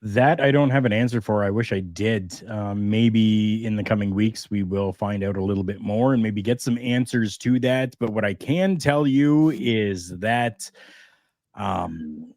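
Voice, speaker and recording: A man's voice: fairly low pitch, a little slow, a little mellow, dry recording, studio quality. A man hosting a wrestling podcast, chatting in an easygoing way with fillers and restarts. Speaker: podcast host. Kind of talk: casual wrestling podcast chat.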